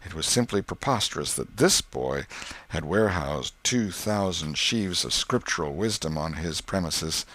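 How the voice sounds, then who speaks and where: A man narrating a literary story as an audiobook, in a measured, expressive and wry manner. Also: low-pitched, dry recording.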